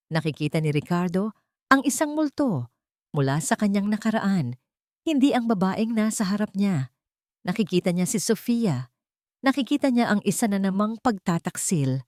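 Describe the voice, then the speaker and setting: A woman narrating a dramatic love story in measured, solemn, deliberate phrases. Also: fairly low pitch, darkish tone, no room sound, clean studio sound.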